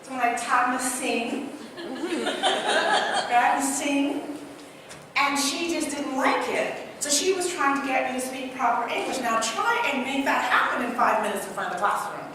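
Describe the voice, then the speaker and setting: A woman with a Trinidadian accent, a motivational speaker giving an energetic live talk to an audience in a large hall, asking them questions and telling personal stories. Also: sits high, a little slow, a little hiss or hum. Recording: echoey hall, mic heard from a distance.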